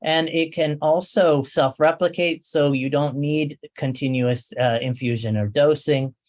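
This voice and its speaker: A man's voice: fairly high pitch, fairly even intonation, a little mellow. American man giving a medical lecture. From USA. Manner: hesitant, frequent fillers, technical and measured. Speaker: oncologist lecturer. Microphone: remote talk, webinar audio.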